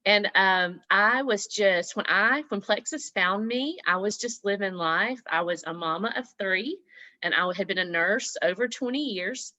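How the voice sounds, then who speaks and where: Older Southern woman, guest speaker on a laptop call; bright, chatty, quick to laugh. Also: mid-range voice, no echo. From Southern US (Mississippi, Alabama). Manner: warm, chatty, laughs often. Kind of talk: casual talk on a video call. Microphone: laptop on a video call.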